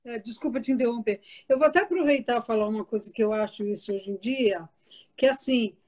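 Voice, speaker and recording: An older woman who grew up in Italy and learned Portuguese as a child, reminiscing in a relaxed interview, chatty and laughing often, sometimes trailing off and repeating words. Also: on the high side, fairly quick, crowd noise. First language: Italian. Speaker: guest author.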